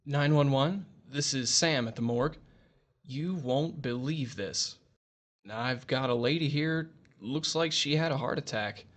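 Man playing morgue technician, folksy, unhurried, halting, mid-pitched, audio drama. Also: fairly bright tone, lightly acted. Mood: puzzled, matter-of-fact. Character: matter-of-fact veteran male morgue technician.

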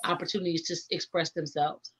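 A woman's voice: lowish voice, little pitch movement, fast, husky. A middle-aged woman, a rap artist, chatting earnestly and reflectively on a video call from a home studio. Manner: earnest, reflective, laughs often. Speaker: rapper guest. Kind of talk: casual video call chat. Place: home studio room with framed records. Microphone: webcam on video call. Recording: dull lo-fi video call audio.

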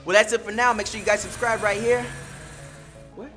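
Young man, American accent, web show host, playful slangy delivery, animated, music in the background. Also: sits high, fairly quick. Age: young adult. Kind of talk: scripted comedic presenting. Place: studio with green screen, music behind.

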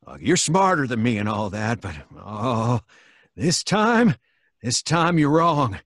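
A stubborn, frightened old country fellow in a radio horror play. He is husky and unhurried, halting and folksy, with a lively rise and fall. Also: medium pitch, no echo, studio quality, exaggerated voice. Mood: grave, stubborn. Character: simple, spooked, stubborn old rustic man. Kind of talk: old-time radio drama acting. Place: home recording, live remote video call.

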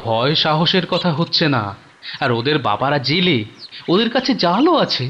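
A man's voice: a little high, sing-song, normal speed, highs cut off, noisy background. A man voicing an elderly, fatherly cartoon heron, cautioning and advising with patient concern. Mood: worried, advising. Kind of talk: children's cartoon dubbing. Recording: music behind the voice.

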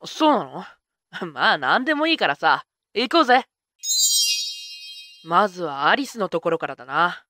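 A woman's voice: lowish voice, very animated, moderate pace, pauses often, bright, forceful tone, no echo, studio quality, heavily acted. A woman playing a careless, tomboyish young witch in an anime-style fan voice drama, talking in a casual boyish way. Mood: carefree. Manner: casual, boyish rough speech.

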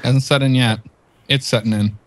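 A man's voice: medium pitch, fairly even intonation, moderate pace. A man giving wry, mock-dramatic commentary while chatting casually on a group video call.

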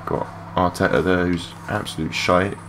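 Low-voiced young man, casual and sweary. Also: fairly even intonation, normal speed. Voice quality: low-pitched voice. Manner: rambling, sweary, matey. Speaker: gaming video creator. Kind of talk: casual unscripted gameplay commentary. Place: his room at home. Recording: clearly noisy home mic recording.

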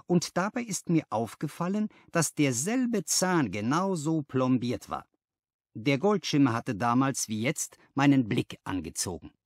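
A man's voice: mid-range voice, dry recording, studio quality. A man reading a story aloud as an audiobook narrator, in scripted first-person narration.

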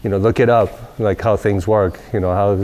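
Low-voiced man in his forties from Southern California, laid-back interviewee with a wandering delivery, recorded seated in a workshop. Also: normal speed, low-quality recording. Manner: relaxed, rambling with many fillers. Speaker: company president. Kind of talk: casual interview. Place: large workshop garage, indoors.

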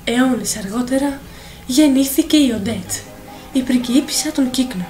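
A woman narrator reading a dramatic, scripted fairy tale for an animated film. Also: sits high. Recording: voice over clear film music.

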